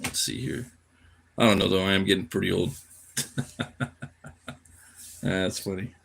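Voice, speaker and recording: Tired dude streaming while drawing, gravelly but bright voice, slangy laid-back mumbling to himself. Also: male voice, sits low, fairly even intonation, measured pace. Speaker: cartoonist live streamer. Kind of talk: casual livestream chat while drawing.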